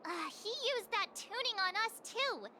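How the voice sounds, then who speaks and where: Very high-pitched, girlish game heroine. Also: lively intonation, normal speed, pushed and bright, a little hiss or hum, exaggerated voice. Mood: curious, concerned. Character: cute, earnest, chatty young girl adventurer. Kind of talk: scripted video game voice acting. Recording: game music behind the voice.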